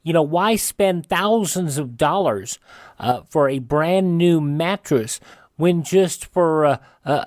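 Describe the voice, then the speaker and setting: A man's voice: high voice, a little mellow, dry recording. Guy hosting a radio show, chatty ad read, close and dry sound.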